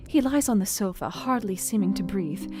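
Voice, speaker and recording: An actress playing an earnest young Victorian wife, reading her journal with precise, deliberate diction in a Gothic audio drama. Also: medium pitch, animated, natural voice. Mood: tender worry. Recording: music behind the voice. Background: instrumental music.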